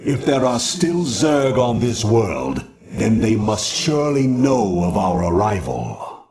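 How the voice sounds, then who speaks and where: A man's voice: lowish voice, very animated, takes it slowly, a little mellow. A man voicing a stern, haughty alien judicator in a video game, speaking in formal, pompous declamation. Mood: grave, wary.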